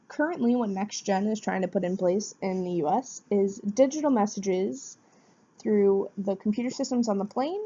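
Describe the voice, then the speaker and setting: A woman's voice: mid-range voice, mellow. A woman gives a prepared informative presentation in an explanatory way, posing questions and answering them. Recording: dull, muffled lo-fi sound.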